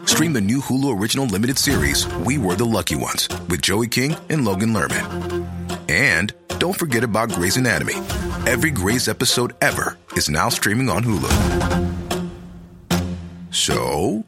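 A man's voice: on the low side, big pitch swings, normal speed. A man reading a streaming-service commercial in an upbeat selling manner. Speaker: ad announcer. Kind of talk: scripted commercial read. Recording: loud music under voice.

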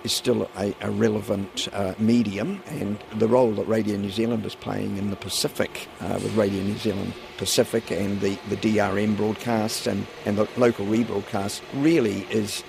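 A man who is a life member of a radio hobbyist league, speaking in a news interview clip in a halting way with many fillers. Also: lowish voice, little pitch movement, gravelly, noisy background.

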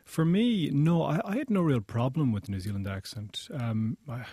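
An Irish man being interviewed in a studio, explaining things in a measured way. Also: medium pitch, expressive, warm tone, husky, dry recording. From Ireland. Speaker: interview guest, journalist. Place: radio studio.

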